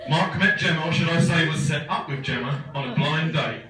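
A man in his thirties giving a teasing best man speech at a wedding, with a dry joking delivery. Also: on the high side. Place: large wooden barn wedding hall. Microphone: phone camera far back in crowd. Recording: echoey hall from far off, laughing crowd.

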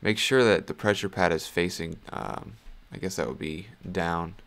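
A man giving a casual, low-key how-to voice-over as the host, speaking in an unscripted, slightly hesitant way. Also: moderate pace, fairly bright tone.

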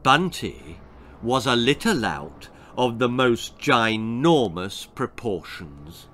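A man's voice: medium pitch, a bit bright, a little hiss or hum. A British man in his sixties reading a children's story aloud in a dramatic, theatrical manner. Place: small book-lined room indoors.

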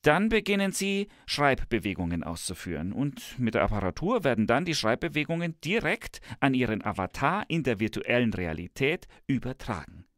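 Man playing a pompous professor with lively intonation. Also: medium pitch, no echo, studio quality. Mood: smug, visionary. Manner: pompous, theatrical, drawn-out emphasis. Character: smug, tech-enthused male professor, grandly visionary and teasing. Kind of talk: scripted satirical dialogue.

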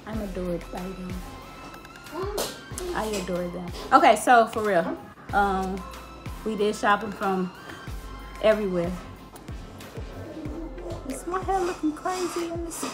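A woman in her thirties with a raspy voice, chatting casually and laid-back through a home vlog, sometimes dropping to a soft aside. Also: medium pitch, animated, slow, pauses often, reverberant. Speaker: home vlogger. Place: living room in a house. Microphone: phone camera held or set on a table. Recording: background music under the voice.

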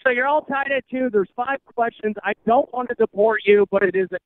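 A man's voice: very high-pitched, fairly quick, no room sound, phone-quality sound. A man hosting a comic street quiz for radio, teasing his contestant with mock-official questions.

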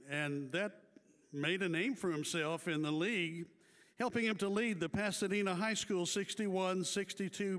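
A man with a high voice reads hall of fame tributes to a banquet crowd, taking his time over each line. His delivery is measured and deliberate, broken by small playful asides. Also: fairly bright tone. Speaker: awards ceremony announcer. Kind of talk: reading prepared inductee tributes.

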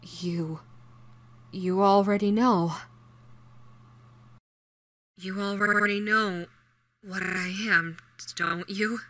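An obsessive doppelganger posing as an ex, acted by a woman in a horror audio roleplay. The female voice is bright and crisp-edged at a middle pitch, halting and intimate, as if addressing one listener. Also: fairly even intonation, slight background noise. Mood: quiet menace. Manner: halting, stammering, soft-spoken in menace. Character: obsessive shapeshifting doppelganger posing as a nervous, pleading ex.

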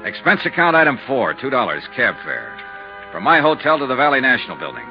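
An American actor playing a brisk, insistent insurance investigator in a 1950s radio detective drama, with a clipped, hard-boiled delivery. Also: male voice, medium pitch, wildly swooping pitch, soft background music. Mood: dry, businesslike. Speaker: lead actor and narrator. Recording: dull, muffled 1950s radio recording.

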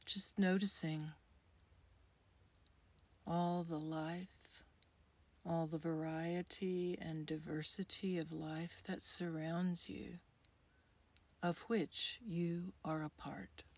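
A woman leading a guided meditation in a soft, breathy, soothing voice, speaking in short phrases with long, unhurried pauses. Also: lowish voice, flat delivery, no echo. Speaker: guided meditation leader, creativity coach. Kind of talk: guided meditation, gentle scripted narration.

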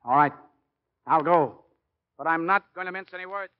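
An actor playing a middle-aged American college football coach in 1940s radio style, speaking plainly and emphatically like an orator. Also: male voice, fairly high pitch, moderate pace, slight background noise, lightly acted. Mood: blunt. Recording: muffled 1940s radio broadcast recording.

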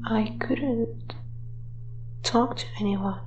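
A woman's voice: on the high side, drawn-out words, lo-fi sound. A woman voicing a shy, gloomy ghost in an audio drama, hesitant and trailing off. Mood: fearful, faltering. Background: music.

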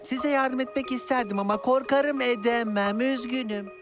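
A performer voicing a gentle, polite magical mountain creature in a children's cartoon dub. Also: mid-range voice, moderate pace, natural voice. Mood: gentle, apologetic. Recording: loud children's music behind.

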